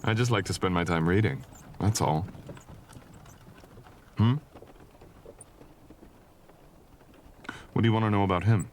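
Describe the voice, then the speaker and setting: A man playing a mysterious, courteous wandering traveler in a soft-spoken romantic fantasy audio roleplay, with old-fashioned, teasing phrasing. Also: low voice, slight background noise. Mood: intriguing, low.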